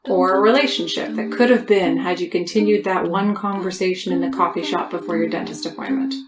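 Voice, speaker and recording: A woman's voice: fairly low pitch, fairly even intonation, fairly quick, roomy sound, crowd noise, poor audio. A woman co-hosting a podcast, reading a scripted introduction. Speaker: podcast co-host.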